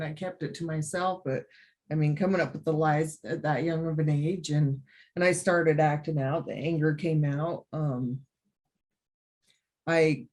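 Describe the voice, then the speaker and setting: A young woman from Utah, a podcast guest, telling her personal story earnestly and emotionally, with many pauses. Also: low-pitched, darkish tone.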